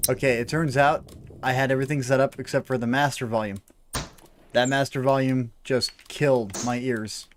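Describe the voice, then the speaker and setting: A man's voice: medium pitch, fairly even intonation, moderate pace, a little hiss or hum. A man in his early twenties hosting a casual gaming livestream, chatty, laughing often and full of fillers. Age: early 20s. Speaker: game streamer host.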